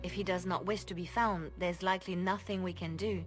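An actress voicing a composed, loyal female spymaster in a video game cutscene. She speaks in a measured, formal way with a dry touch of amusement. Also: fairly low pitch, steady tone, soft background music, close to an everyday voice. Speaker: spymaster advising the Inquisitor.

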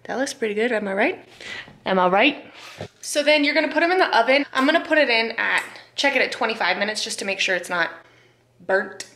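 A woman's voice: on the high side, animated, a little fast, bright, forceful tone, echoey room, a little hiss or hum. A woman in her twenties filming a casual cooking vlog in her small home kitchen, chatty, flustered and self-deprecating, picked up by a camera mic across the room. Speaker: cooking vlogger.

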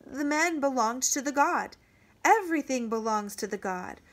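Woman reading as a young boy prince, scared but standing up, clear ringing voice, fairly high. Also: lively intonation, moderate pace. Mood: earnest. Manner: firm, ringing declaration. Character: frightened young prince, boy finding courage.

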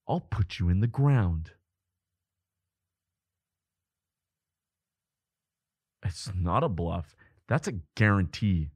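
A devoted, fiercely protective young lover, acted by a man in a dramatic audio roleplay. He speaks slowly and emotively, in a husky voice that moves widely in pitch. His delivery halts and breaks with feeling. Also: darkish tone, dry recording, full character voice. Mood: angry, defiant. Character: devoted boyfriend of a vampire, protective, frantic, defiant.

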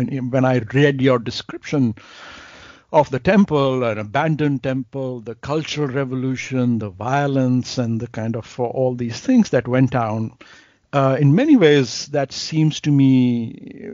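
A man's voice: mid-range voice, darkish tone, no echo, highs cut off. A man hosting a podcast interview, asking probing questions with frequent ums and brief acknowledgements. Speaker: podcast host. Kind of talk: interview conversation.

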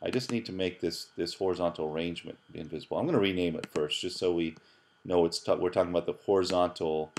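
A man with a very deep voice talks through a programming screencast. He explains casually as he goes, thinking aloud with fillers and restarting words. He sounds middle-aged and relaxed, like a teacher at his desk. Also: fast talker. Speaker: professor teaching app programming. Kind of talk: screencast tutorial narration.

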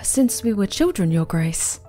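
A woman's voice: mid-range voice, very animated, unhurried, dark tone. A woman acting in an audio drama as a devout, deferential subject who speaks carefully. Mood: deferential, subdued. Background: faint music.

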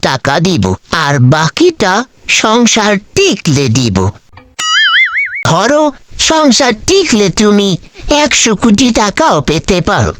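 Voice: medium pitch, big pitch swings, takes it slowly, over music. A performer with a Bangladeshi accent voicing a timid, scheming male lackey in a comic cartoon, wheedling and theatrical. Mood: bargaining. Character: scheming, timid, flattering crow-nicknamed male political lackey.

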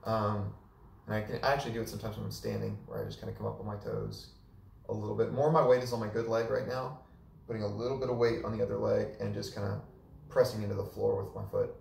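A hoarse young man giving a casual, chatty update in a small living room, filmed by a camera a few meters away. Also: on the low side, fast, far from the mic, a little hiss or hum. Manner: relaxed and chatty, with many fillers. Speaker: vlogger. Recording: echoey room, voice off-mic.

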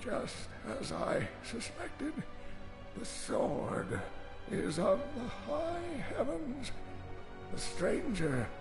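Very slow, weary old scholar. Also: male voice, extremely high pitch, huge pitch swings, creaky, heavily acted. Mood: weak, solemn. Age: older. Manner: labored, solemn, halting. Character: weary, wise dying old scholar man. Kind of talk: video game acting. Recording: echoing, game music behind.

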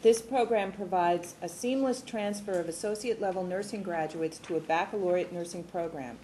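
A woman's voice: lowish voice, moderate pace, dark tone, slight background noise, low-quality recording. A woman in her sixties reading formal program summaries at a board meeting in a large conference room, on a table microphone. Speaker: university administrator presenting programs.

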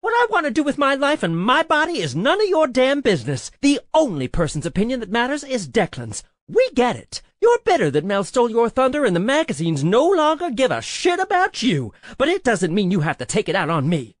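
Audiobook role: woman as stubborn young female lead, curt, defiant, very animated pitch. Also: a little slow, hardly any pauses, no room sound, studio quality. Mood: furious outburst.

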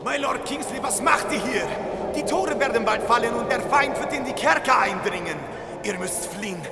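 A man's voice: very high voice, normal speed, creaky, far from the mic. A man playing an alarmed, loyal dungeon keeper in an audio drama, urgent and strained. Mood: urgent warning. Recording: echoing hall, loud music and crowd behind.

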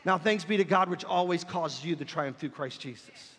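A man preaching a sermon as a pastor, leading call-and-response and building to emphatic shouts. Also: on the high side, moderate pace. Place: church sanctuary. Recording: long church reverb.

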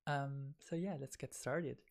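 A man's voice: mid-range voice, unhurried, vocal fry. Man giving a casual recorded tech talk. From Italy. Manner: conversational, many fillers like "you know" and "um". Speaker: software developer giving a recorded conference talk.

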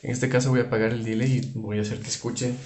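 A man giving an informal guitar effects tutorial, explaining things in a halting way with restarts. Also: mid-range voice, level tone, a little mellow, slight background noise. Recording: roomy, reverberant room sound. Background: electric guitar playing.

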